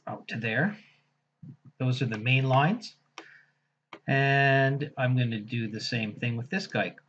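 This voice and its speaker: A man teaching software in a casual screen-recorded tutorial, thinking aloud as he clicks through each step. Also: medium pitch, takes it slowly, breathy edge, lo-fi sound. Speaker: software instructor.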